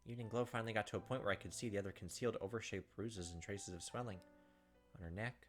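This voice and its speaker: A man's voice: lowish voice, fast talker, fairly bright tone. A man hosting a let's play, reading game text aloud dramatically with casual asides. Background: game music.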